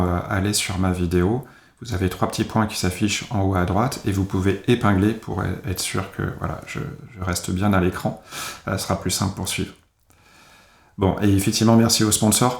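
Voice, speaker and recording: A man in his forties, an agile coach, giving a conference talk over a video call in a measured, reflective storytelling manner. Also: low voice, gravelly, echoey room. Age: 40s. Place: home office, video call.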